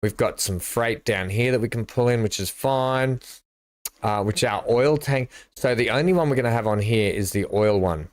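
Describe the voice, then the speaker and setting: A man's voice: mid-range voice, normal speed, dry recording. A man streaming a building game, casually thinking aloud as he places things. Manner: thinks aloud, relaxed, mumbles placement choices. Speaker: gaming streamer. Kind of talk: casual live-stream commentary while building.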